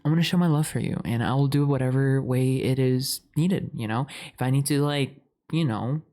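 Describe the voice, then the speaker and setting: A man's voice: mid-range voice, normal speed, mellow. A young man playing a chatty, lovestruck boyfriend in an improvised ASMR roleplay, rambling in a playful, dramatic way. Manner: rambling, giggly, playfully dramatic, frequent swearing.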